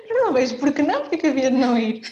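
A woman's voice: sits high, darkish tone, muffled recording. A young woman from Ukraine, talking reflectively as an interview guest. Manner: reflective, speaks in short clauses with frequent "não é?". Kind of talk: casual interview conversation.

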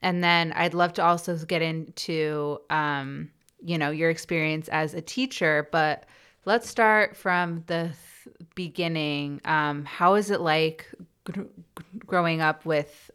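A woman hosts a podcast conversation, stuttering now and then on the first sounds of words. Her voice sits low for a woman and comes across smooth and clear. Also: steady tone, slow pace, fairly bright tone. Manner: stutters, with repeated sounds and blocks. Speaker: podcast host. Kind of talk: podcast interview.

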